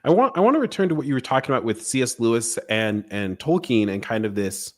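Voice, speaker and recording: A man's voice: mid-range voice, expressive, fast talker. A man hosting a podcast in a casual conversational style, musing informally and rambling a little through his explanations. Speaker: podcast host.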